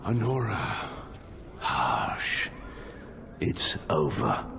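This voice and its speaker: An actor playing a proud, weary middle-aged general and stern father in a game, speaking in a gravelly, grave and deliberate way. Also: sits low, very animated, slight background noise, full character voice. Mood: weary, tender. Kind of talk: dramatic game acting. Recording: loud dramatic music behind.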